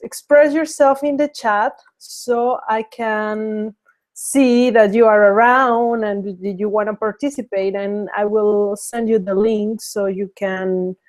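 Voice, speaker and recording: Slow-talking woman from Mexico. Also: mid-range voice, a little mellow. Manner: hesitant, many fillers and restarts. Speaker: webinar host. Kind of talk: casual webinar talk.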